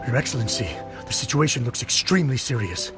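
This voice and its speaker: An actor playing a dutiful, deferential soldier in a video game, reporting formally and respectfully. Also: male voice, mid-range voice, lively intonation, fast talker, music in the background, close to an everyday voice. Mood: grave, respectful. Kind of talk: video game dialogue acting.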